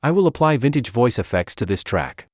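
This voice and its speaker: A man narrates a scripted how-to for software. His pitch moves in a lively way from phrase to phrase. The recording is close and dry, with very little room sound. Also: brisk, no room sound. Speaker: tutorial narrator.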